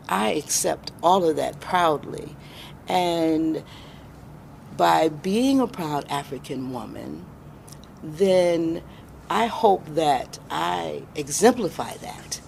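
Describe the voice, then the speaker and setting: A middle-aged American woman answering interview questions as a guest, reflective and deliberate, pausing for emphasis. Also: low-pitched, creaky, a little mellow, slight background noise. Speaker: interview guest, independent filmmaker.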